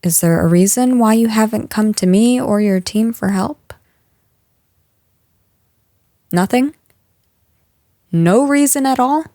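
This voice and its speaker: A woman playing a stern, frustrated office boss in a scripted audio roleplay, speaking to an employee in a firm, measured, exasperated way. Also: on the high side, warm tone, no room sound, studio quality. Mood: exasperated, probing. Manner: firm, exasperated, measured managerial delivery with sighs.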